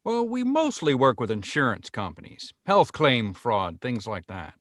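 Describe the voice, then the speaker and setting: A man reads a seedy private-investigation boss in his fifties. The pitch is middling but swings about, hesitant and evasive like a reluctant salesman, in a dry screenplay reading. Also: very animated, unhurried, a bit bright, no room sound. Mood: evasive, businesslike. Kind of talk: screenplay table read.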